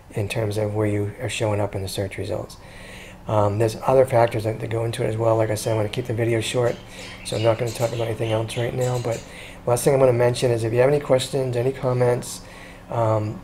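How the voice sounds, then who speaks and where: A man talking casually through a screen-recorded walkthrough as a consultant, chatty and relaxed, with frequent ums and restarts. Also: medium pitch, slight background noise. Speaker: SEO consultant pitching a business.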